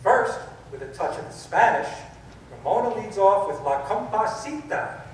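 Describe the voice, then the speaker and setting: A man's voice: sits high, big pitch swings, normal speed. A man playing a courteous, formal announcer introducing dance music in an old radio play. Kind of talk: 1930s radio acting. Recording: echoey, distant, loud music behind.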